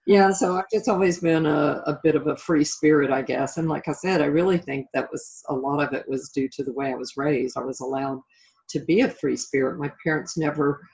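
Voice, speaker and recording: Middle-aged American woman, husky voice, reflective interview guest, slightly dull lo-fi recording. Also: low voice, lively intonation, brisk, darkish tone. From southern United States (Florida). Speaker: interview guest, life coach. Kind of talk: reflective interview talk about own life.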